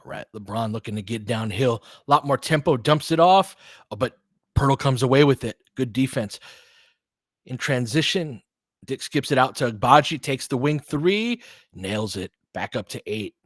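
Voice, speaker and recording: Male fan commentator, mid-pitched, dry recording. Clipped live hoops play-by-play, openly partisan, slangy. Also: animated, normal speed, no room sound.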